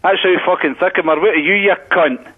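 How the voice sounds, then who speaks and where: Sweary, fast-talking Glaswegian man on the phone. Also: high-pitched, husky. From Glasgow, Scotland. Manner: sweary, combative, blunt. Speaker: prank call victim. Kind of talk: heated phone argument. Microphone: telephone. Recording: muffled phone line, broadcast on radio.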